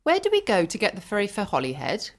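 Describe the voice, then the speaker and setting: Audiobook role: actress as sensitive young Irish woman, sincere, mid-pitched, with very lively intonation. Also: fast. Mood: anxious. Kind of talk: acted audiobook dialogue.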